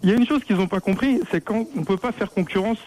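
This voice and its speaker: Man, radio caller, earnest, lots of fillers, fast, high voice. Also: darkish tone, rough recording. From France. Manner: earnest, full of fillers like "en fait". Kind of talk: call-in radio chat.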